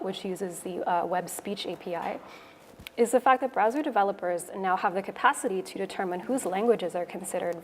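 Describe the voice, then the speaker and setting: Canadian woman speaking in an echoey hall. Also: mid-range voice. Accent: Canadian English. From Toronto, Canada. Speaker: conference speaker, web developer. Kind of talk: prepared conference talk. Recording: echoey hall, noisy room ambience.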